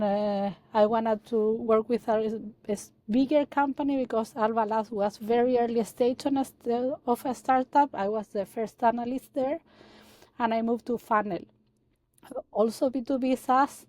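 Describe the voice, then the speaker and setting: Woman from chile, lots of uh and restarts, mellow tone, dry sound. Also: on the high side, no room sound. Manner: halting, many fillers and restarts. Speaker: guest, data analyst. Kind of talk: casual interview talk.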